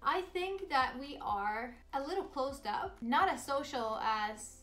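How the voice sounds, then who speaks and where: A woman's voice: high voice, expressive, measured pace, fairly bright tone. Bubbly Swedish woman in her thirties. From Sweden. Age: 30s. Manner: bubbly, upbeat and chatty. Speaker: vlogger. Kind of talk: casual Q&A vlog.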